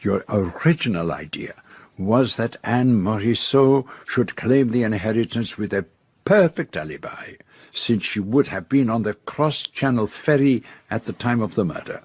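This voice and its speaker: An actor playing a confident, methodical detective in a radio drama, speaking formally and deliberately as he lays out a case. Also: fairly low pitch, animated, darkish tone, breathy edge, no room sound. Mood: assured. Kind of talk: radio drama acting, detective's denouement monologue.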